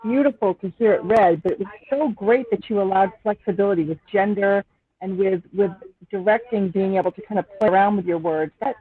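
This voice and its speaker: A woman's voice: mid-range voice, a little fast. A woman with a mid-range voice speaks casually and gushes with praise. The audio is very thin and phone-like, coming through a video call. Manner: gushing, complimentary. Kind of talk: casual post-show praise.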